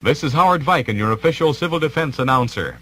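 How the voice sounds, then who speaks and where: A man reading an official emergency announcement in a vintage civil defense radio style, formal, deliberate and authoritative. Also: mid-range voice, animated, sharp tone.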